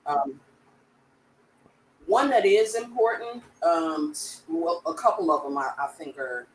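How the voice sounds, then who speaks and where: A woman's voice: on the low side, animated, a little slow. Distant echoey woman co-hosting. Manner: chatty, laughs easily, self-deprecating. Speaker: co-host, tech community founder. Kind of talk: casual livestream chat. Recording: echoey distant mic with faint background noise.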